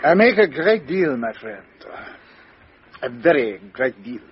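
An actor playing a fussy, precise middle-aged Belgian detective, in 1950s radio drama style. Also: male voice, medium pitch, big pitch swings, unhurried, a little hiss or hum. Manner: precise, theatrical, drops to a hush. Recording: dull old radio recording.

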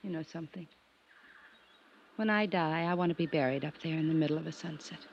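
A woman's voice: deep voice, fairly quick, gravelly. A lonely young woman, wistful and guarded. She speaks in dramatic 1950s radio style. The recording is dull and a little noisy, like an old broadcast.

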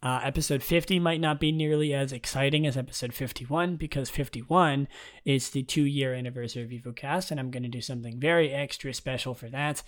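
A young man with a raspy voice hosting his own podcast, talking in a relaxed, rambling way and reading out game news. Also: brisk. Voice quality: raspy, low tonight. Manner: relaxed and rambling, many ums and restarts. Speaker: podcast host.

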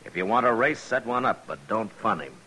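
An actor playing a calm, stern frontier marshal in a 1950s radio western, firm and understated. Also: male voice, lowish voice, a little fast, slightly rough, exaggerated voice, low-quality recording. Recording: vintage radio recording, murmuring crowd.

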